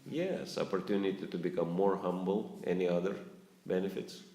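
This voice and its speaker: Male spiritual teacher addressing a live audience; deep voice, asks questions, echoey lecture room. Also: fairly even intonation, normal speed, warm tone. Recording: roomy echo.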